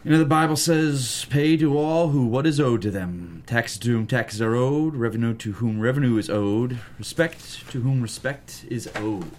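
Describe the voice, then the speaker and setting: An actor at a table read playing a cocky young surfer bro who uses drugs. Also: male voice, mid-range voice, normal speed, few pauses, darkish tone, slight background noise, natural voice. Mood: cocky, relishing.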